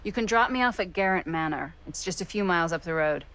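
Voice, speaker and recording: An actress playing a guarded, worldly American woman in a video game, speaking in a terse, deadpan way. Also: lowish voice, fast talker. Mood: matter-of-fact. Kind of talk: naturalistic video game acting.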